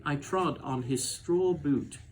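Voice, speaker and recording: A man's voice: fairly high pitch, slow pace, slight background noise, rough recording. A man voicing an earnest, tender-hearted young girl in a children's storybook, with a concerned manner.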